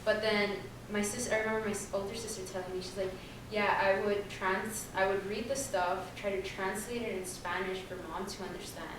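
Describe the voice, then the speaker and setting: Young woman on a panel, chatty and loose, lots of likes, far off in a boomy hall. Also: steady tone, brisk, hardly any pauses, background noise. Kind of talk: personal storytelling on a panel. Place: large echoey room. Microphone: distant room mic. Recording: echoey hall recorded from far off.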